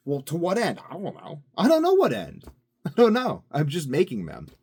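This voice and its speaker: A man's voice: a little high, huge pitch swings, normal speed, a little mellow, breathy edge. A man talking to his own video channel in a casual, rambling monologue, musing and drifting from thought to thought with plenty of fillers. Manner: musing, drifting between topics with frequent fillers. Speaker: vlogger.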